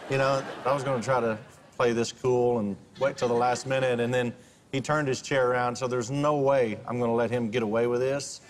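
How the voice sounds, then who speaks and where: A man's voice: medium pitch, fairly even intonation, brisk. A man coaching on a TV singing show, talking to a contestant in a playful, teasing way. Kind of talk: joking banter with a contestant. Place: large TV studio with an audience. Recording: loud crowd and studio noise.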